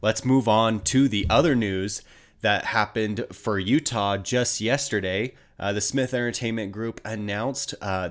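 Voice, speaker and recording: Low voiced american guy chatting hockey news, bit stuffed up from a cold, nasal, kinda rambly. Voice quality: slightly nasal. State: getting over a cold. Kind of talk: casual sports news commentary.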